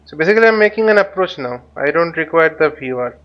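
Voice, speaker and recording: Man, higher-pitched voice, halting tutorial commentary, muttering to himself, slightly muffled lo-fi recording. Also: animated. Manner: halting, thinking aloud, often muttering to himself. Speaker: flight simulator instructor. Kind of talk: unscripted tutorial commentary while flying a simulator.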